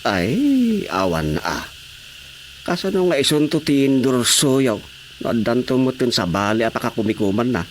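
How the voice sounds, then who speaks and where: A man with an Ilocano accent playing an eager, excitable village councilman in a comedy radio drama. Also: mid-range voice, unhurried, slight background noise. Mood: inquisitive. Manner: excitable, repeated interjections.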